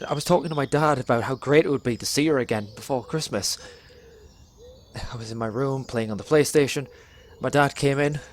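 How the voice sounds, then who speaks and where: A young man performs a dramatic spoken word monologue in a measured, deliberate storytelling way, pausing between phrases. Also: mid-range voice, slight background noise. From England. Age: young adult.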